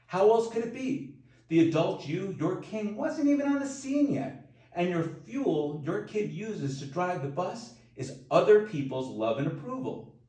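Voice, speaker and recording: High-voiced man narrating, roomy and distant. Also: a little mellow. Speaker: author reading own audiobook. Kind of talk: scripted self-help audiobook narration. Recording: roomy echo, distant from mic.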